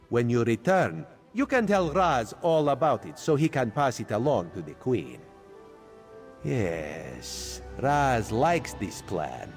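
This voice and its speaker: An actor playing a sly male cat-folk spymaster who talks about himself in the third person, in a video game. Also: mid-range voice.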